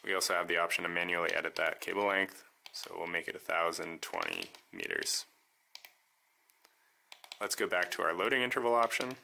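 A man calmly walking through how his homemade device works, step by step, in a voice-over demo. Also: low-pitched, fairly quick, creaky, a little hiss or hum. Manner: calm, methodical step-by-step explaining. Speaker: maker demonstrating his device.

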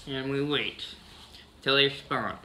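A man's voice: mid-range voice, fairly even intonation, a little slow, sharp tone, a little hiss or hum, rough recording. A man casually narrating a farming video game, humming "mm-hmm" between steps, recorded on a camera across a room. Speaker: gameplay narrator.

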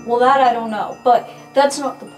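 A woman's voice: a little high, fairly even intonation, moderate pace, soft background music. A woman chatting casually as a player in an improvised roleplay game, thinking aloud with some hesitation.

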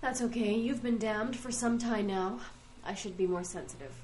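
A woman's voice: mid-range voice, normal speed, darkish tone, a little hiss or hum, natural voice. A woman playing a weary, chatty immortal who runs errands for heaven and complains wryly, in a fantasy comedy audio drama. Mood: dryly matter-of-fact. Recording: music under the voice.

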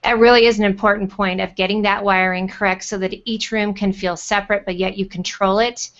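A woman's voice: medium pitch, fairly even intonation, brisk, fairly bright tone. A middle-aged woman hosting an online interview show over a video call. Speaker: host. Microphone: computer mic on a video call. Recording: dull, lo-fi video call audio.

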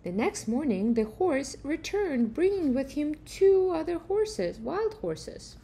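Slow-paced middle-aged woman reading a picture book. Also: high-pitched, very animated, mellow. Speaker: reader of a children's picture book. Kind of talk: expressive read-aloud storytelling. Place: room at home, indoors.

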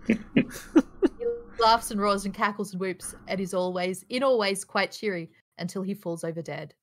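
A woman serves as narrator at a table read. She reads out screenplay stage directions in a medium-pitched voice. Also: moderate pace, a bit bright, a little hiss or hum, poor audio.

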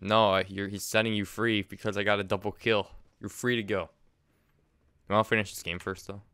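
A young man streaming a game from his room, chatting excitedly and repeating himself. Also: fairly low pitch, a little fast, clear, resonant tone, no echo. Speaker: gaming streamer. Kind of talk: casual gameplay chat. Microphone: desk mic, wearing headset.

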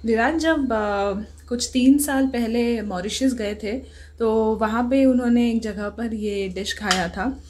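A woman's voice: on the high side, slow pace, darkish tone. A woman in her thirties hosting a home cooking show, chatting casually and asking guiding questions. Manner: encouraging, asks guiding questions. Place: home kitchen, indoors.

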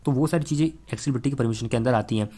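A man presents a tech tutorial. He talks at a fast clip in a mid-pitched voice. His delivery is conversational and explanatory, going step by step and often checking that the listener follows. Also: warm tone. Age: 20s. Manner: conversational, explains step by step with frequent tag phrases. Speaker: host of a tech tips channel. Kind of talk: explanatory tech vlog to camera and screen tutorial. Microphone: clip-on lapel mic.

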